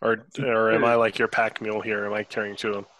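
A man's voice: fairly low pitch, completely flat delivery, quick pace, crowd noise, low-quality recording. A man chatting casually as a player in a tabletop game, speaking on a video call. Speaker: tabletop role-playing player.

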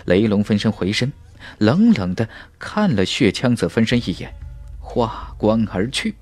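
A male audiobook narrator tells a fantasy tale in a lowish voice with sweeping, animated intonation. His delivery is emphatic and dramatic, recorded dry and close, with music playing behind him. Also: unhurried, dry recording. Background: background music.

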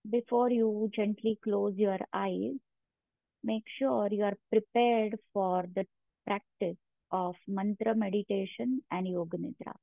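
A woman guiding a meditation as a yoga instructor, giving measured instructions with long pauses between them. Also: medium pitch, fairly even intonation, vocal fry, no echo. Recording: narrow phone-like sound, very dry.

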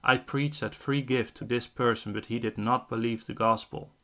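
A man's voice: mid-range voice, fairly even intonation, normal speed, bright, forceful tone. A twenty-year-old man from the Netherlands preaching in an online chat, insistent and given to quoting scripture. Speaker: street-style online preacher. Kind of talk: evangelistic debate in casual chat.

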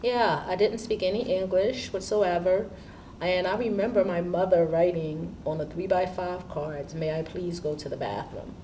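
A woman in her fifties from Haiti speaks in an interview as a school administrator. Her voice sits on the low side. She is picked up from a little way off, with some noise in the room. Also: moderate pace, dark tone. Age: 50s. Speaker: school assistant principal. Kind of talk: seated interview answers. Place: indoor school studio with curtain backdrop. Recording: distant mic with background room noise.

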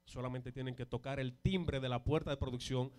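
A man's voice: medium pitch, fairly even intonation, brisk, a little mellow. The man you'd hear on a local variety show, politely interviewing the next guest in the studio, repeating a word as he goes. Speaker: TV host interviewer. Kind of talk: live TV interview. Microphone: handheld microphone.

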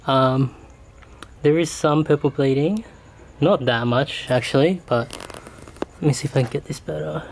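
A young man casually explaining how he details his car outdoors in a driveway, relaxed and full of ums. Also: a little high, measured pace, creaky, long pauses, slight background noise. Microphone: camera mic, later a GoPro.

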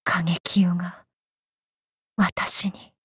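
Casting: possessed female samurai for an anime game, vengeful and torn, archaic diction, very slow delivery, slightly breathy, dry sound. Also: on the low side, level tone, fairly bright tone, no echo, heavily acted. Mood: hesitant, pleading. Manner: archaic, solemn speech with halting pauses, sometimes sinking to whispers. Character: vengeful possessed samurai woman, tormented, torn between two selves. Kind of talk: anime game character voice lines.

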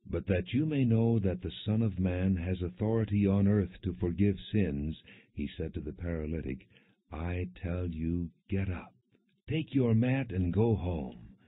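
Low-voiced guy solemnly narrating a bible audiobook, measured, sounds tinny like over a phone. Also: expressive, slightly rough. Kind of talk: solemn scripted Bible reading, non-dramatized. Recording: muffled, phone-like narrow band.

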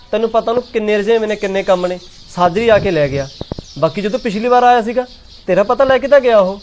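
A man playing a patient, fast-talking son. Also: sits high, a little mellow, close to an everyday voice. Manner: soothing, explains at length. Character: patient adult son calming his mother. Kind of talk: family drama acting.

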